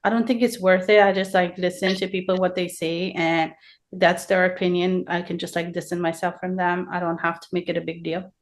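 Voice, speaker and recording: A woman's voice: lowish voice, little pitch movement, a little fast. A woman co-hosting a casual podcast, speaking bluntly and matter-of-factly. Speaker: podcast co-host. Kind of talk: casual panel discussion.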